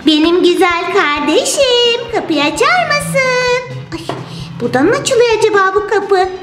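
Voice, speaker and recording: A woman voicing a dramatic, excitable older sister girl in children's toy storytelling, exclaiming and whining as she talks. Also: very high-pitched, unhurried. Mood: excited, dramatic. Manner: gasping, exclaiming, whiny. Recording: roomy echo, music underneath.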